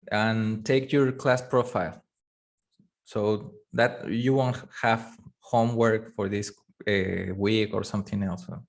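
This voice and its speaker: A man whose first language is Spanish, teaching a live online course, talking in a halting way with many fillers and restarts. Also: medium pitch. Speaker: course instructor. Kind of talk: live online class lecture.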